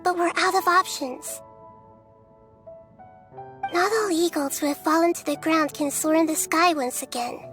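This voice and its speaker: A soft, wise child goddess. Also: female voice, extremely high pitch, a little slow, pauses often, faint music behind. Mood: calm. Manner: calm, soft-spoken, resolute. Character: young goddess in a child's form, wise and gentle. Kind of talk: video game voice acting.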